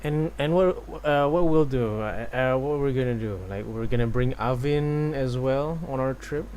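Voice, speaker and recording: A young man from Malaysia giving casual live gameplay commentary, thinking aloud in a halting way. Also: mid-range voice, a little mellow, slight background noise. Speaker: game streamer. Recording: game music behind the voice. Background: game music.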